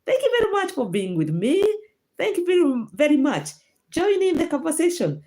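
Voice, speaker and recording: A woman's voice: high voice, big pitch swings, normal speed, mellow. A woman from Kenya with a Kenyan accent, hosting a casual livestream health talk and answering viewer comments, with many fillers and restarts. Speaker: livestream host. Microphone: phone or laptop livestream.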